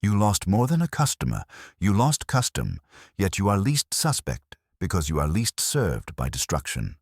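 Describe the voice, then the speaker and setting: A man's voice: low voice, wildly swooping pitch, moderate pace, breathy edge, no room sound, clean studio sound, voice pushed up, heavily acted. A man voicing a stern, imperious detective genius in an audiobook, with a pompous, formal and accusatory way of speaking. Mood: accusing, commanding.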